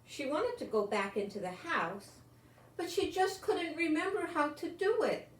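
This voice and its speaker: An older woman reads a picture book to young children at an unhurried pace, with plenty of lilt in her delivery. She lingers on words and drops in chatty asides. The recording sounds roomy. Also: high voice. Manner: expressive, lingering on words, talking as to small children. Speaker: storyteller reading a picture book aloud. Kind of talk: read-aloud children's story with chatty asides. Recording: roomy home recording with faint noise.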